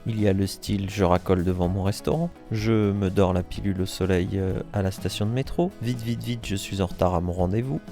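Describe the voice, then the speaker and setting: Guy doing travel vlog voice-over, lowish voice, laid-back and a bit wry, music playing under him. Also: a little fast, few pauses. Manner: relaxed, wry commentary addressed to the viewer. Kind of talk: voice-over narration.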